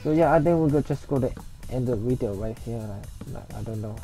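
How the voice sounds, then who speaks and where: A young man from Hong Kong speaks English with a Hong Kong accent, his first language being Cantonese. He sounds tired and rambles, restarting his sentences often. His voice is dark and mellow, with soft music playing behind him. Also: mid-range voice. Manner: rambling, halting, with frequent restarts. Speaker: let's play gamer. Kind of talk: casual gameplay commentary.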